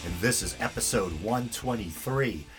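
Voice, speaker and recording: A man's voice: mid-range voice, moderate pace, over loud music, low-quality recording. A man hosting his own podcast in a casual, rambling, easygoing monologue, his voice stuffy and nasal. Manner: rambling, self-deprecating, easygoing. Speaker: podcast host.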